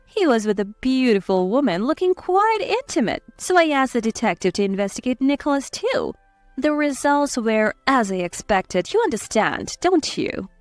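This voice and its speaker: Woman playing a confident, cutting aunt, highish voice. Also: very animated, moderate pace, no echo, faint music behind. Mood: pointed, smug. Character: confident, cutting, protective aunt exposing cheaters. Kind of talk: scripted story dialogue.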